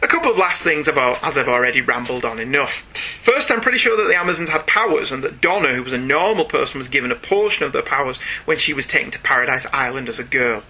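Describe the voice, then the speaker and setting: A man hosting a podcast, chatting casually and reading out listener emails in a chatty, animated way. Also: mid-range voice, fast, hardly any pauses. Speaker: podcast host.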